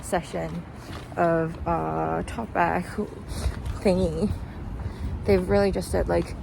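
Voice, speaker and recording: A woman's voice: lowish voice, level tone, slow, creaky. A young woman talking casually and reflectively in a rambling vlog, recorded outdoors while walking. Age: young adult. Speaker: vlogger. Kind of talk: casual chatty vlog monologue. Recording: noisy outdoor street with traffic.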